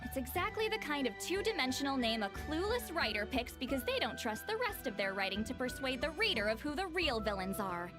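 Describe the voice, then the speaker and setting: A brash young warrior girl from an anime-style game. Her voice is high and very lively, with a haughty, boastful edge and a short fuse. Game music plays clearly behind her. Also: brisk, few pauses. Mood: irritated. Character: proud, brash young female warrior, easily vexed. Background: video game music.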